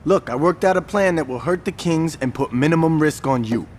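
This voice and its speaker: A man's voice: a little high, a little fast, a little hiss or hum, lightly acted. An actor playing a calculating, level-headed male gang strategist who lays out plans firmly and with exasperation. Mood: exasperated. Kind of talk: video game cutscene acting.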